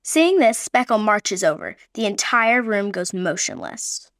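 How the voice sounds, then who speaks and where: A woman narrating a radio play with even, descriptive storytelling. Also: medium pitch, unhurried, no room sound, clean studio sound.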